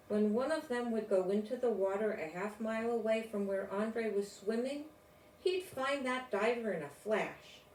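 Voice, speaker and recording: Slow-paced older woman reading a storybook. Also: mid-range voice, a little mellow. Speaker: storyteller reading a children's book aloud. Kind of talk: read-aloud storybook narration with informal asides. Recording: roomy, distant mic, faint noise.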